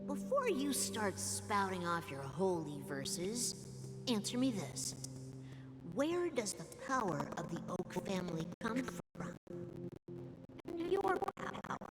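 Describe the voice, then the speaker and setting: A woman voice actor playing a haughty, mocking, defiant and cynical woman in a video game, with a taunting, theatrical drawl. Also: mid-range voice. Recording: long hall reverb, loud music behind. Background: game music.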